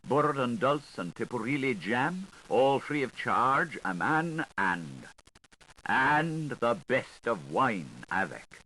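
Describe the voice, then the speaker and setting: A man reading a literary novel aloud as an audiobook, with a lilting, highly varied, expressive delivery. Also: low-quality recording. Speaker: audiobook reader. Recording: faint tape hiss, 1980s recording.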